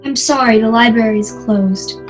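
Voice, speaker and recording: An actress playing an emotional, sincere wife in an old-time radio drama, speaking in a dramatic, heartfelt way. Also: female voice, a little high, measured pace, faint music behind, natural voice. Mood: frightened.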